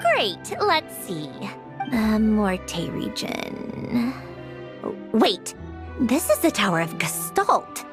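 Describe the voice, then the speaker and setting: Game role: determined young woman, bright-pitched and expressive, businesslike with slight hesitation, music behind. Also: drawn-out words, full character voice. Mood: focused. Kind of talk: video game voice acting.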